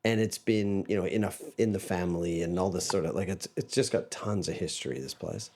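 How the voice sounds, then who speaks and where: Low-voiced man with a Canadian-Aussie accent. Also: brisk, a little mellow. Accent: Canadian and Australian mix. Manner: chatty, rambling, restarts often. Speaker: podcast guest. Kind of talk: casual interview chat.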